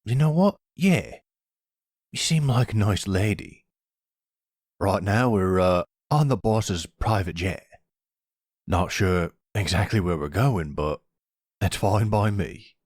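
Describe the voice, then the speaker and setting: A man playing a nervous, chatty, none-too-bright mafia henchman in an audio roleplay, hesitant and stammering in slangy bro talk. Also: fairly low pitch, animated, takes it slowly, pauses often, dry recording, studio quality. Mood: chatty, friendly.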